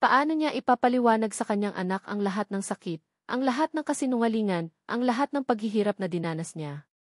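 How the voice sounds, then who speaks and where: A woman's voice: on the high side, expressive, quick pace, dry recording. Woman narrating a dramatic story, dry sound.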